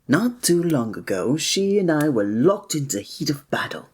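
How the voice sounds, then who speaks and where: A man playing a flirty, breathy innkeeper. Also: on the high side, very animated, moderate pace. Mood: amused. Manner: formal old-fashioned wording with chuckling asides. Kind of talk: ASMR roleplay.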